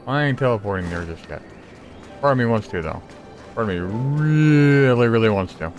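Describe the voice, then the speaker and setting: A man's voice: mid-range voice, animated, moderate pace, dull audio, soft background music. A man giving casual gameplay commentary, muttering to himself and swearing as he plays. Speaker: gameplay streamer.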